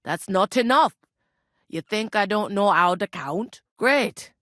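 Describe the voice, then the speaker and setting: An actress playing a brusque, gossipy medieval innkeeper in a video game, speaking in earthy, colloquial rustic phrases with folksy idioms. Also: female voice, medium pitch, lively intonation, takes it slowly, a bit bright, dry recording, clean studio sound. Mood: matter-of-fact, brisk. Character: brusque, gossipy medieval village innkeeper woman, plain-spoken, superstitious. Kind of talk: video game character dialogue.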